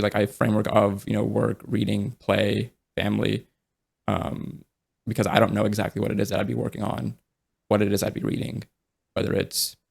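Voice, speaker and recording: Gravelly-voiced young man chatting casually. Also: on the low side. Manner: relaxed, rambling, many fillers and restarts. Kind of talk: casual podcast conversation.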